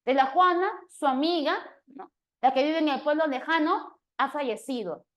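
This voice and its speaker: A woman's voice: high voice, normal speed, fairly bright tone. Woman teacher explaining grammar, checking in often. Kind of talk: online exam-prep class lecture.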